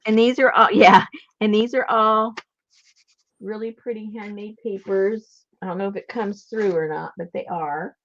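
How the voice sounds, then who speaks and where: A woman's voice: mid-range voice, steady tone, moderate pace, fairly bright tone, muffled recording. A woman hosting a casual live craft show, chatting and showing papers to the camera. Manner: chatty, laughs often, shows papers to camera. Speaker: host selling journal kits.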